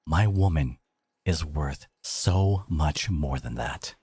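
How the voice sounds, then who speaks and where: A man playing a devoted, protective boyfriend in a dramatic roleplay audio, speaking emphatically with sarcastic edges and dramatic pauses. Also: a bass voice, a little mellow, breathy edge, heavily acted. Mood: indignant, sarcastic.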